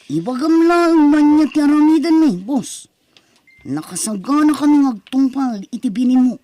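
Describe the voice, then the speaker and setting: A woman's voice: high-pitched, animated, normal speed, warm tone. A woman playing a cheeky, sociable young male friend in an Ilocano drama, with a teasing, casual manner. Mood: respectful. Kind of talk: Ilocano radio-style drama acting.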